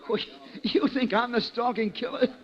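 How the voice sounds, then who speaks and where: A man's voice: very high voice, fairly even intonation, normal speed. A man playing a frightened, defensive suspect in 1940s radio style, agitated and indignant. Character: frightened, defensive, broke male murder suspect. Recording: dull lo-fi old radio recording.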